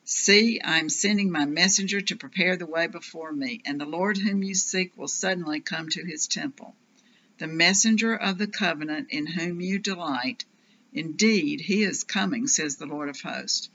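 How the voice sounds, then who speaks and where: A woman giving a solemn, scripted scripture reading in a measured, declarative manner. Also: on the low side, a bit bright. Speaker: scripture reader. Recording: dull lo-fi audio, faint noise.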